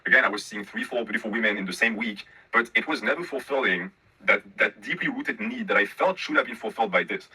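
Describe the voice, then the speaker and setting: A man's voice: fairly low pitch, lively intonation, fast, sharp tone, breathy edge, low-quality recording. A man casually recounting personal stories in a rambling, self-reflective way. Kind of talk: casual storytelling chat.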